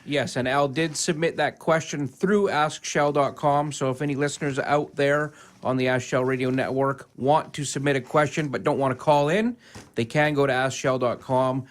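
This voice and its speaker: A man working as co-host and operator on a live radio show. He gives announcements and trades banter in an everyday, mid-pitched voice. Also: fairly even intonation, normal speed.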